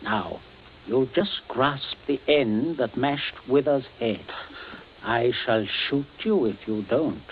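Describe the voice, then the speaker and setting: A man's voice: medium pitch, expressive, slow pace. An actor playing a cold, commanding middle-aged father in a 1940s radio drama, speaking in a clipped, menacing, authoritative way. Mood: controlled, commanding. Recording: scratchy, tinny 1940s radio broadcast.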